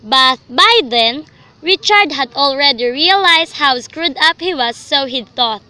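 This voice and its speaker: A woman's voice: sits high, expressive, a little slow, pushed and bright, a little hiss or hum. A young woman reading scripted literary narration for a student radio drama. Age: young adult.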